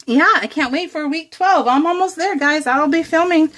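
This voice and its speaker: Higher-voiced American woman, bubbly and chatty. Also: moderate pace, a bit bright. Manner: bubbly, giggly, rambling and gushing. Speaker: craft vlogger hosting a scrapbook process video. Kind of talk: casual chat to viewers while crafting.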